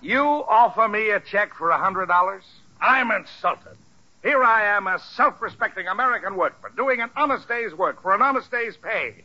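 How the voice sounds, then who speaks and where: A condescending big boss from a 1940s radio comedy. The voice is high for a man, forceful and sweeping in pitch. He is pompous and theatrical, talking people round with false heartiness on a muffled old recording. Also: expressive, moderate pace, heavily acted. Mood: mock indignant. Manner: pompous, theatrical, jovially persuasive. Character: hearty, wily, condescending male company boss. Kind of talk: 1940s radio sitcom acting.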